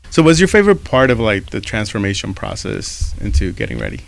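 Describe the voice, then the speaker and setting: A man's voice: on the low side, very animated, fairly quick, vocal fry. A man in his thirties from Mexico with a Mexican accent, co-hosting a podcast in easygoing casual chat and laughing often. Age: 30s. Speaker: podcast co-host.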